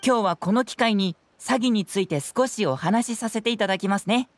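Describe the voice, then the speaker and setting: A woman's voice: mid-range voice, brisk, close to an everyday voice. Casting: youthful male sleuth voiced by a woman, boyish middle register, playful theatrical narrator for a game. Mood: showy, welcoming. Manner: polite, theatrical presenting. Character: clever, playful young male detective as stage narrator. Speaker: narrator and presenter. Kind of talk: video game voice acting.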